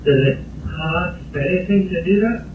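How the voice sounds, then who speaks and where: A man's voice: sits high, moderate pace, noisy background. A man phoning as a customer, talking informally and a bit unsure, in a rambling casual way. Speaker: car-buying customer calling in. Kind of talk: casual phone chat. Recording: muffled, echoey recorded phone call.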